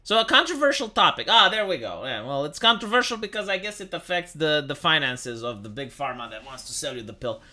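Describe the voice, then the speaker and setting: Young man from Romania, streamer, animated pitch, very bright tone, restarts a lot, swears casually. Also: brisk, hardly any pauses. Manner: animated, many restarts, swears, talks to chat. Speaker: livestream host. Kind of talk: casual live-stream chat, reading articles aloud.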